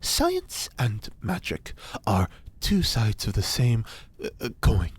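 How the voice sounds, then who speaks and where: A man voicing an eccentric occult scientist who lectures with grand, theatrical self-importance. His pitch leaps and dives all over the place, and his tone carries a slightly husky edge. It fits an audio fiction drama. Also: wildly swooping pitch, takes it slowly, heavily acted. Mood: lecturing. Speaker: reporter's informant.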